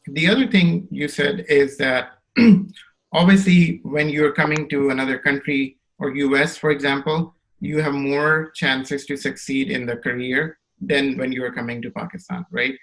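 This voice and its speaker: A man's voice: medium pitch, fairly even intonation, measured pace, fairly bright tone, rough recording. Thoughtful male podcast host. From Saudi Arabia. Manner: thoughtful, builds questions at length, checks in with "right?". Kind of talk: interview questions.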